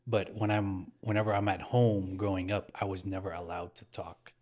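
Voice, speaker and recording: A man's voice: low-pitched, flat delivery, normal speed, darkish tone. A man from San Francisco talking casually as a podcast co-host. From San Francisco, USA. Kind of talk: casual chat.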